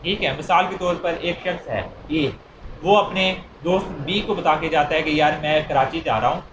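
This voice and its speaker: Higher-pitched Pakistani man lecturing, echoey and noisy. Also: moderate pace, muffled recording. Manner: repeats and rephrases points, mixing Urdu with English legal terms. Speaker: law lecturer. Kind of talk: informal explanatory lecture with examples.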